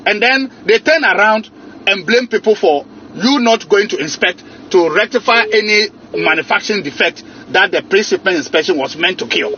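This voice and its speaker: High-voiced, insistent Ghanaian man on phone. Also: lively intonation, moderate pace, bright, forceful tone. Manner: insistent, repeats words and phrases. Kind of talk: private phone conversation, explaining and arguing. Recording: muffled, noisy secretly recorded phone call.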